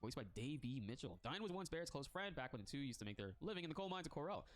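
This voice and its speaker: Congested male streamer, fast and animated, reacts with slang and laughs. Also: on the high side. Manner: excitable, laughs often, slangy. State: congested from a cold. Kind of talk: casual reaction chat, reading text aloud.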